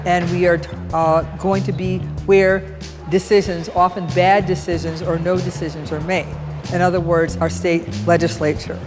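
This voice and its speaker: A woman protester explaining the day's action in an earnest, measured way. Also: on the low side, little pitch movement, loud music behind the voice. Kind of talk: interview comment.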